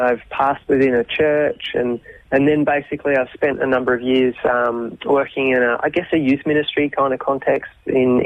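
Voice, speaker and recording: A forty-year-old Australian man, a youth minister, talking in a casual interview and often pausing with ums and restarts. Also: mid-range voice. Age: 40s. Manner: thoughtful, many ums and restarts. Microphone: phone. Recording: tinny phone line.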